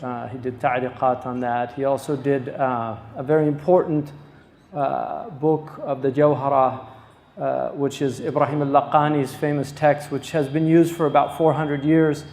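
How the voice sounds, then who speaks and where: A man hosting a lecture, introducing a guest scholar in unscripted, halting talk with many pauses and fillers. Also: mid-range voice, level tone, a little mellow. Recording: echoey hall, distant mic.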